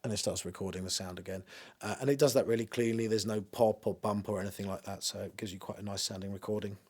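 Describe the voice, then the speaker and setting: A man's voice: fairly low pitch, steady tone, fast, a little mellow. A man giving an informal, matter-of-fact spoken demonstration of audio equipment, explaining as he goes. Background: music played through a tape deck.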